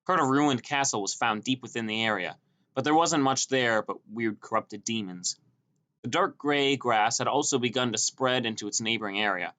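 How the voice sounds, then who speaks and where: A man's voice: mid-range voice, a bit bright. A man reading a scripted first-person story as an audiobook narrator.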